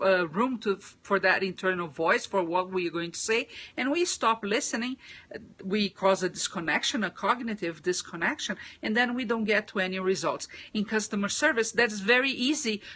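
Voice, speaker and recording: A woman's voice: medium pitch, normal speed, fairly bright tone. A woman from Brazil with a Brazilian Portuguese accent, giving business communication tips in a casual live stream, thoughtful with frequent restarts. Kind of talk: casual livestream talk.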